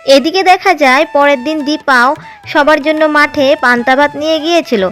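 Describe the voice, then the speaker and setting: A woman narrating a cartoon village story in a steady, plain way. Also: high-pitched, normal speed.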